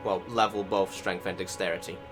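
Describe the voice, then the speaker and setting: A man's voice: sits low, flat delivery, brisk. A man giving casual voice-over commentary on video gameplay, rambling and questioning himself with some mild swearing. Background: music.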